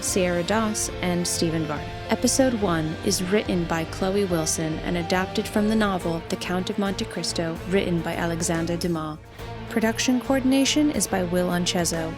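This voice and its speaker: A woman reading scripted credits as an announcer for an audio production. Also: on the low side. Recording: music behind the voice. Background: guitar music.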